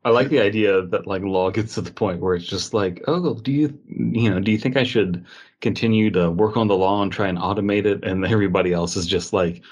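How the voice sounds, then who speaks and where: Husky low-voiced man, casual podcast banter. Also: brisk, darkish tone, lo-fi sound. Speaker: podcast host. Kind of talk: casual banter and reading aloud.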